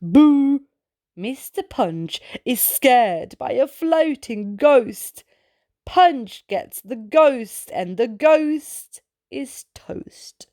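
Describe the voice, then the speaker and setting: A woman reading a children's picture book aloud as a storytime host, in an expressive way with exclaimed sound-effect words. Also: high-pitched, no room sound, studio quality. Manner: slow, deliberate delivery for young children.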